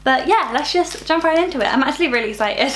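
A woman's voice: sits high, expressive, fast, a bit bright, a little hiss or hum. A woman in her twenties hosting a reaction channel, chatting casually and upbeat while sick and slightly nasal. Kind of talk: casual music reaction chat. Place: small bright room with plants.

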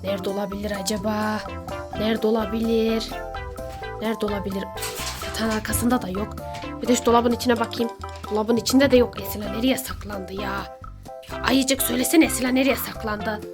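A woman playing a sneaky, hungry neighbor villain in a children's pretend-play skit, muttering theatrically to themselves with drawn-out complaints. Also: on the high side, steady tone, close to an everyday voice. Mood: puzzled, searching. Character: sneaky, hungry Hello Neighbor villain, seeker and snack thief. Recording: loud music over the voice.

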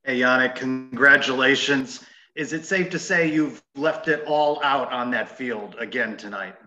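A man asks questions as a reporter at a sports press conference. His voice is mid-pitched, bright and forceful. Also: measured pace, faint music behind, rough recording.

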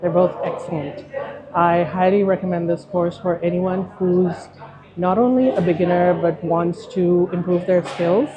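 A woman's voice: on the low side, steady tone, a little slow. A woman doctor from Pakistan enthusiastically recommending a training course to camera. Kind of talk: testimonial to camera. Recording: dull audio, crowd chatter behind.

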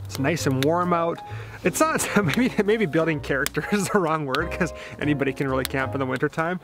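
An upbeat young man in his twenties talks to a camera held at arm's length, his voice sitting a little high. He rambles cheerfully and laughs as he thinks aloud, with clear music playing under him. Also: a little fast. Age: 20s. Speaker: RV travel vlogger. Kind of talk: casual vlog to camera. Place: snowy campground outdoors and inside a small truck camper. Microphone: handheld camera at arm's length.

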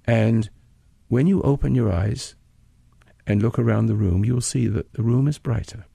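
A man leading a guided meditation with plain-spoken, measured instructions, often pausing mid-sentence. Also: medium pitch, gravelly, warm tone, slightly rough, no room sound, clean studio sound.

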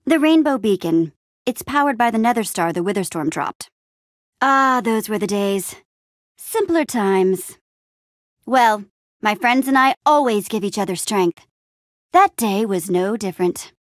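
A woman playing an upbeat young adventurer and town hero in a video game, friendly, casual, expressive and quippy. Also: mid-range voice, a little slow, dry recording, clean studio sound, natural voice. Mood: fond, reminiscing. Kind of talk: video game character acting.

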